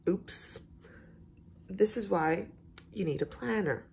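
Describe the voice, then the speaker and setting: A woman in her twenties with a slightly hoarse voice, chatting casually in a vlog with frequent asides, recorded on a phone indoors. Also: medium pitch, slow, darkish tone, slight background noise. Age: 20s. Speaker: vlogger. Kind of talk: casual vlog chat to camera. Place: small living room indoors, on a couch. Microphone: phone at arm's length.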